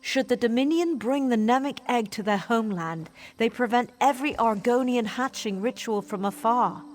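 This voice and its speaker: A woman's voice: fairly high pitch, normal speed, slight background noise, close to an everyday voice. An actress playing a stern female military officer in a fantasy video game, formal and authoritative. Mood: stern, businesslike.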